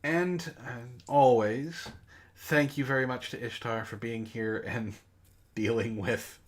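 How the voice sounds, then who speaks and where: A man's voice: medium pitch, fairly even intonation, takes it slowly. Man hosting a bantering comedy podcast. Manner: bantering, mock-exasperated. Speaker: book club host. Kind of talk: casual comedic podcast chat.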